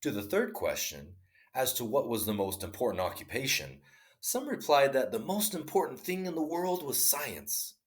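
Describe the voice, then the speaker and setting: A man reading a classic short story aloud in an expressive, dramatic storytelling style. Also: medium pitch, moderate pace. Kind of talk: read-aloud story narration.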